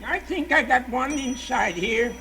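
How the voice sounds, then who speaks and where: A folksy old service station attendant in a vintage radio play. His voice is gravelly and swoops up and down as he drawls his hesitant replies. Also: extremely high pitch, lively intonation, a little slow, pushed and bright, slight background noise, exaggerated voice. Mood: obliging, hesitant. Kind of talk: 1940s radio acting. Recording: old 1940s radio recording.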